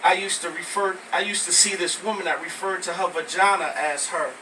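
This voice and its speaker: An American man from Brooklyn performing spoken word poetry live, with emphatic, deliberate phrasing. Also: high-pitched, steady tone, sharp tone. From Brooklyn, New York, United States. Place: live poetry venue with small audience. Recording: noisy, distant amateur recording of live venue.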